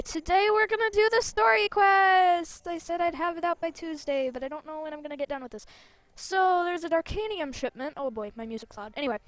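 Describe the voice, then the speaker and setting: A woman's voice: high-pitched, no echo. A woman hosting a gameplay video with casual, chatty, playful commentary full of exclamations. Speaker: gaming video host. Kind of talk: casual gameplay commentary with read-out game dialogue.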